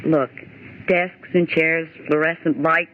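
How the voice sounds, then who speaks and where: A woman's voice: low voice, fairly even intonation, slow. An actress playing a bossy, skeptical but rattled young woman office worker in 1940s radio horror style, scolding and theatrical. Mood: exasperated scolding. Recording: old radio recording, narrow tinny band, hiss.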